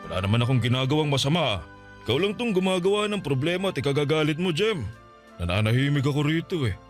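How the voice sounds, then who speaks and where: A man's voice: on the high side, big pitch swings, fast, soft background music. A man playing a boastful, manipulative middle-aged Filipino father in a radio drama, wheedling and self-righteous as he scolds. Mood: defensive, indignant.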